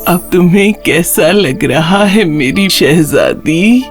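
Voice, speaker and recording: A woman's voice: on the low side, animated, soft background music, natural voice. A woman voicing a kindly, tender elderly woman in a children's cartoon story, speaking in an affectionate, soothing manner. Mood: loving.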